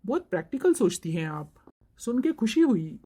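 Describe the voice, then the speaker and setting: A young cartoon suitor acted by a woman, with a mellow, relaxed tone. He is courteous and eager to please. He gives way to requests politely. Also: fairly high pitch, normal speed, husky. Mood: accommodating. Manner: polite, accommodating. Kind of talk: Hindi cartoon moral-story voice acting.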